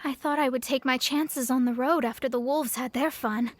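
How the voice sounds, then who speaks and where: Woman playing a high-voiced, rattled merchant. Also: fairly even intonation, moderate pace, faint music behind, close to an everyday voice. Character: rattled traveling merchant woman, talkative and worried. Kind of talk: video game character acting.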